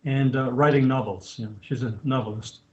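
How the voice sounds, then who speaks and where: A man's voice: medium pitch, brisk. A man in his sixties who grew up in France, a missionary answering interview questions steadily with many restarts. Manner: steady, with frequent restarts and fillers. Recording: dull lo-fi sound.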